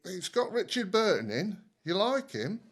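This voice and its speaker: An actor playing a cheerful middle-aged working-class dad in a 1950s family audio drama. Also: male voice, high voice, very animated, moderate pace, fairly bright tone, exaggerated voice. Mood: chatty, upbeat.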